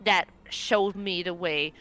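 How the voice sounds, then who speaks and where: A woman's voice: on the low side, steady tone, slow pace, a bit bright, poor audio. A woman talking casually as a player in a tabletop roleplaying game.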